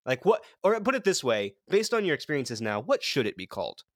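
A woman podcast host chatting casually and comedically, riffing and exclaiming in an animated way. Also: sits low, fairly quick, dry recording, studio quality.

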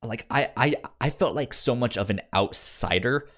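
A man co-hosting a casual review discussion, halting and repeating words as he thinks out loud. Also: medium pitch. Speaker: podcast co-host reviewing comics.

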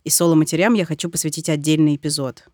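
A woman's voice: sits low, steady tone, fast, warm tone, no echo. A woman in her thirties hosting a podcast, with scripted narration and interview questions, recorded in a studio. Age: 30s.

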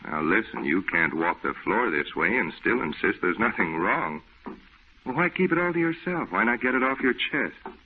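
A man playing a hearty, reassuring friend who talks in a brisk, coaxing way, in 1940s radio acting. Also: lowish voice, very animated. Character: hearty, reassuring male friend, middle-aged. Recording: tinny old radio broadcast with faint hiss.

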